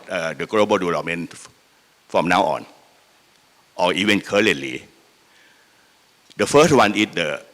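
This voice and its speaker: A man with a Thai accent giving an extemporaneous conference speech, halting and repeating himself as he stresses his points. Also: lowish voice, a bit bright. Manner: halting and repetitive, with emphatic enumerated points. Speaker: panel speaker. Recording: echoey hall with long reverb.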